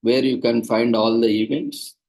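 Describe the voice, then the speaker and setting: Husky man guiding a video call. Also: medium pitch, flat delivery, a little slow, darkish tone, poor audio. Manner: patient, instructive, plain and practical. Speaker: seminar organizer. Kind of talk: explaining procedures on a video call.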